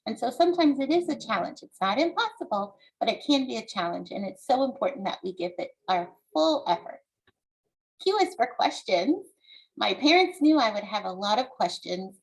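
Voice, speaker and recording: High-voiced woman reading aloud to children. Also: lively intonation, gravelly, low-quality recording. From United States. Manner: deliberate, instructive, encouraging. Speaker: children's book author presenting her book. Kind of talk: read-aloud with explanation to young audience.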